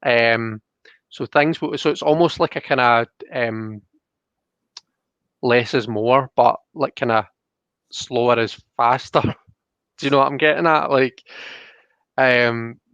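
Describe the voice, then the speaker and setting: Guy hosting a casual livestream card reading, dry dull sound, explains things haltingly as he thinks. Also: mid-range voice, a bit bright, clear, resonant tone, no room sound. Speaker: host of a live tarot reading.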